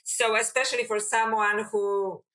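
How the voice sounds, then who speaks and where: A woman with a Polish accent speaking as an interview guest. Her voice is bright and mid-pitched, and she muses through her answers. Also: level tone, moderate pace. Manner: thoughtful, musing, with fillers. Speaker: guest, author and academic.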